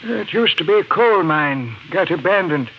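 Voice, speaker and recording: An actor playing a folksy, halting miner with an American hillbilly accent, in 1950s radio drama style. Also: male voice, sits high, expressive. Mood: folksy, helpful. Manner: folksy, halting, clipped sentences. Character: folksy hillbilly miner, a crude disguise put on. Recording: dull, noisy 1950s radio recording.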